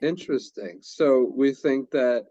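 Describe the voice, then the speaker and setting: A man's voice: mid-range voice, animated, unhurried, mellow, no echo. A man presenting a workshop who guides the discussion and rephrases what participants say. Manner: sums up and rephrases what others say. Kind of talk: leading a group discussion. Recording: dull lo-fi sound.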